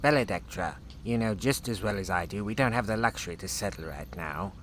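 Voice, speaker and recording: Actor as suspicious pompous official, fretful, agitated, lowish male voice, sci-fi audio drama, faint noise behind. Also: animated, fairly quick, fairly bright tone. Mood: agitated worry. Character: anxious, arrogant chief officer, suspicious and self-important. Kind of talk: full-cast sci-fi audio drama acting.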